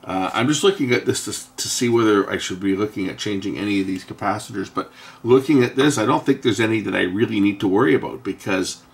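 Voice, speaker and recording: A man giving an unscripted, hands-on technical explanation in a halting way, often pausing and repeating himself to correct what he says. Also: on the low side, vocal fry. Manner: halting, self-correcting, with frequent pauses and repeats. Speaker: host of an electronics repair channel.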